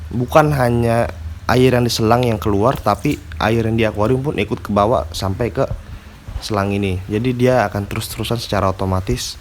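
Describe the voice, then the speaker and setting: A man's voice: fairly low pitch, steady tone, normal speed, a little hiss or hum. A man with a stuffy nose from a cold, casually explaining aquarium care in a slangy, filler-heavy vlog style. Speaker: aquarium hobby vlogger. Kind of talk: casual tutorial chat.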